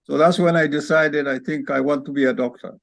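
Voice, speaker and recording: A man from Kenya, an orthopedic spine surgeon, telling his life story in an interview over a video call in a reflective, unhurried way. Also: a little high, steady tone, gravelly, no echo.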